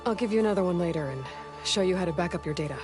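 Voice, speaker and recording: A woman acts as a young huntress in a video game, with a lowish voice and natural delivery. She is guarded and earnest, pausing haltingly as she explains. Loud cinematic music plays behind her. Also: lightly acted. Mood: determined, instructive. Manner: hesitant, halting when explaining. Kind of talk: video game cutscene and exploration dialogue.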